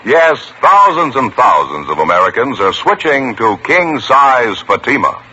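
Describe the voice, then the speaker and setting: Bright, lively vintage radio pitchman. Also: unhurried. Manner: upbeat, persuasive sales pitch. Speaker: commercial announcer. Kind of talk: 1950s radio ad announcing. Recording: dull old radio broadcast with hiss.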